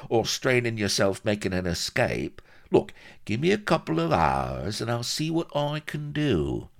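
A man's voice: mid-range voice, sing-song, measured pace, gravelly, voice pushed up. A man voicing a shifty, unctuous male shyster from a storybook, with a wheedling, colloquial way of talking. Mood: sly, wheedling.

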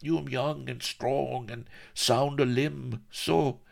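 A man's voice: medium pitch, steady tone, slow pace, vocal fry, voice pushed up. A man voicing a ragged, wizened old male castaway who blusters in a quavering yokel way. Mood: maudlin. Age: older.

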